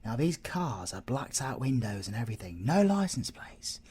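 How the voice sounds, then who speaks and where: Husky, cocky British male siren played by an actor. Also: mid-range voice, very animated, normal speed, a little mellow, dry recording. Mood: wry storytelling. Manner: teasing, theatrical, drops into whispers. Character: cocky, flirtatious male siren under police interrogation. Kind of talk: ASMR audio roleplay.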